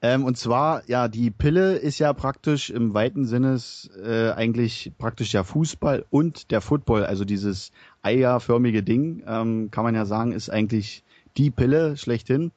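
A man's voice: mid-range voice, measured pace, a little mellow, no echo, dull audio. A man from Berlin with a Berlin accent, co-hosting a podcast as the football expert and speaking hesitantly. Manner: hesitant, many fillers. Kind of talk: casual podcast chat.

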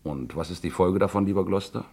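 Very deep, pompous rogue philosopher. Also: male voice, a little fast, a little mellow, exaggerated voice. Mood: lecturing. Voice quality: gravelly, husky. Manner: grandiloquent, lecturing. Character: pompous, philosophizing rogue, self-styled aesthete with scruples. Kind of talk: German radio crime play acting.

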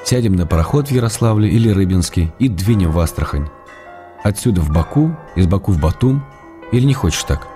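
Casting: an ailing writer in midlife, wry and intimate, lowish voice, reading love letters for radio over music. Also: male voice, expressive, a little fast, warm tone. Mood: tender, weary. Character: ailing middle-aged writer, tender, teasing, writing love letters.

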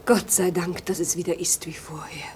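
A woman playing a fearful, disbelieving mother figure in a German radio play, with a husky voice. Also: lowish voice, steady tone, moderate pace, darkish tone, slight background noise, close to an everyday voice. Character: fearful, disbelieving woman of the sealed dark world.

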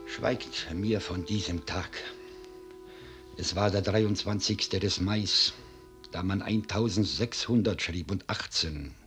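A man's voice: low-pitched, slow, a bit bright. A man playing a grave, proud and mournful old Bohemian cellar master in a 1950s German radio drama. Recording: 1950s radio recording, music behind.

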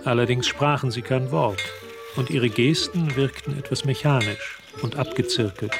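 An actor narrating a literary radio drama as a composed storyteller, reading scripted prose. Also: male voice, mid-range voice, moderate pace, creaky, over music, close to an everyday voice.

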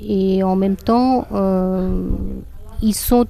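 A woman's voice: mid-range voice, steady tone, extremely slow, gravelly, warm tone. A woman from northern India whose first language is Hindi, explaining things in a conversational way with frequent hesitations and restarts, recorded outdoors in a public garden. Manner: hesitant, many fillers and restarts. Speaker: guide and interpreter.

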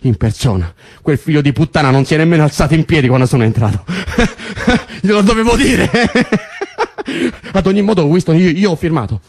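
A man's voice: a little high, normal speed, darkish tone, husky. An actor playing an agitated political prisoner in a stage drama, stammering and repeating himself as he tells his story. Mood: flustered. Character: agitated male political prisoner, stunned by news of release. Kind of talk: naturalistic stage drama acting.

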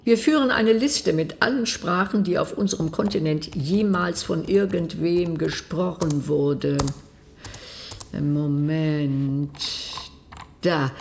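A woman's voice: sits low, takes it slowly, gravelly, darkish tone, a little hiss or hum, heavily acted. An actress playing a brusque, sardonic middle-aged female official in a radio drama, dry and impatient. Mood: dismissive, puzzled. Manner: dry, sarcastic, impatient, commanding. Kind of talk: modern radio drama dialogue.

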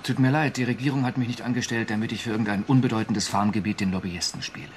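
An actor playing a conscientious, uneasy government official in a radio drama, speaking formally and hesitantly with a husky voice. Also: male voice, lowish voice, fairly even intonation, quick pace, a little hiss or hum, lightly acted. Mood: evasive, curt. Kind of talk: German radio drama acting.

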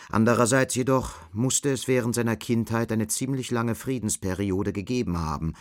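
A man narrating a scripted radio drama as its storyteller. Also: on the low side. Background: faint music.

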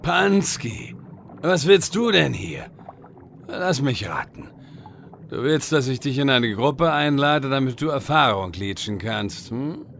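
A man's voice: medium pitch, very animated, slow, creaky, a bit bright, a little hiss or hum, full character voice. A man playing a smug, scheming wizard with a condescending manner in a comedic audio drama. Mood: scornful. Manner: condescending, mock-solemn. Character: smug, scheming male wizard in online game parody.

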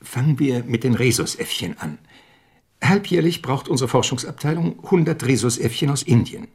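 A middle-aged company executive in a radio play. His voice is husky and medium in pitch. He is formal and businesslike, pressing his points with insistence. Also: expressive, normal speed, a little mellow. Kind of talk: radio drama dialogue.

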